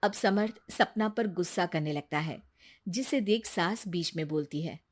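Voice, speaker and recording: A woman's voice: lowish voice, moderate pace. A woman narrating a scripted family story as its storyteller.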